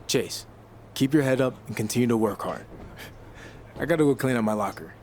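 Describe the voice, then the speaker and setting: A man's voice: mid-range voice, animated, a little fast, a little mellow, natural voice. A confident young male wrestler in a video game voiced by a man, blunt and self-focused. His mid-range voice is matter-of-fact, with faint noise behind it. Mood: frank. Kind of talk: video game cutscene dialogue.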